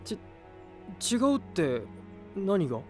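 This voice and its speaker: Animated, earnest young knight hero. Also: male voice, high voice, slow pace, faint music behind, heavily acted. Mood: disbelieving. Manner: sincere, eager, friendly. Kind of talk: anime-style voice drama.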